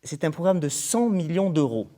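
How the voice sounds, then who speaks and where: A man's voice: a little high, sing-song, moderate pace, dark tone. A man presenting a programme in an interview, formal and explanatory, often going through points as lists. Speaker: programme coordinator being interviewed.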